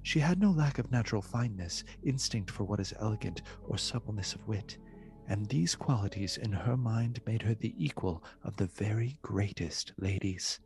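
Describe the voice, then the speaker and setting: A man acting as the solemn narrator of a gothic radio play, measured and formal. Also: on the low side, lively intonation, darkish tone. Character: solemn storyteller of a gothic tale. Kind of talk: dramatic literary narration. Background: music.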